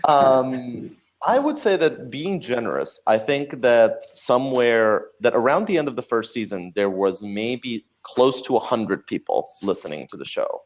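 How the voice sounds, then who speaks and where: A man's voice: medium pitch, animated, a little slow, poor audio. A man speaking casually as an interview guest, explaining and elaborating as he tells stories. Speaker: podcast creator, interview guest. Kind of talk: casual interview chat, storytelling.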